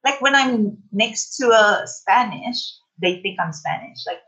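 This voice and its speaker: A woman from the Philippines, a Tagalog native speaking English as a business coach guest, animated and giggly. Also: medium pitch, a little slow, poor audio. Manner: animated, giggly, self-assured. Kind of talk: casual interview.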